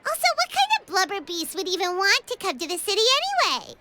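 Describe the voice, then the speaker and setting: A woman voicing a small, excitable, skeptical fairy companion in a video game, with a squeaky childlike voice and an incredulous, exclamatory manner. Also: quick pace, a little hiss or hum, full character voice. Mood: indignant, astonished.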